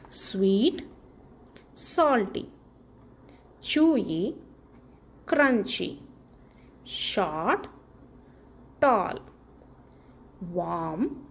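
A woman reading a picture book aloud to young children in an expressive, deliberate way, stressing key words and posing questions to her little listeners. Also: medium pitch, breathy edge. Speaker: children's book reader, channel host.

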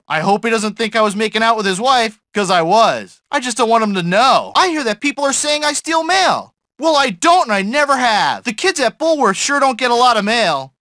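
Video game mailman: very high male voice, animated swings, self-important, gossipy, whiny bluster. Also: dry recording, studio quality, full character voice. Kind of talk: video game character barks and chatter.

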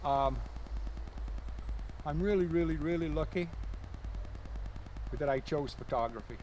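An older man talking casually to camera outdoors, musing with pauses and repeating words for emphasis. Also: a little high, a little mellow, poor audio. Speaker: landscape photographer, vlogger. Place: outdoors in woods and open field. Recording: outdoor ambient noise.

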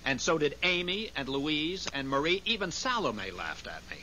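A man's voice: fairly high pitch, big pitch swings, normal speed, pushed and bright. An actor playing an earnest, emotional man in a 1970s radio drama. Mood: wounded. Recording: hissy, noisy 1970s radio recording.

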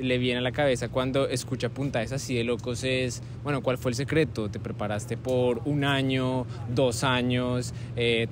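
A young man hosting a casual improvised interview on a campus, relaxed and chatty with frequent fillers. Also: mid-range voice, noisy background. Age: young adult. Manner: relaxed, conversational, many fillers like "como" and "eh". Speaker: host, medical student interviewer. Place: outdoors on a university campus plaza with trees.

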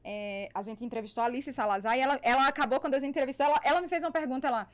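A Brazilian woman talks as a podcast guest in a high voice. She rattles along quickly and emphatically, acting out bits of dialogue in a chatty, storytelling way. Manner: talkative, emphatic, acts out dialogue. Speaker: podcast guest, business networker. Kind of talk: animated conversational storytelling. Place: small foam-padded podcast studio. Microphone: studio microphone on boom arm.

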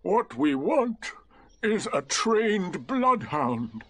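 A mournful donkey from a children's storybook. The words drag along very slowly in a raised, widely swooping pitch. The manner is lugubrious and full of resigned self-pity. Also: male voice, very animated, exaggerated voice. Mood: gloomy sarcasm. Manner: slow, drawn-out, lugubrious complaining. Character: gloomy, melancholy, self-pitying old donkey.

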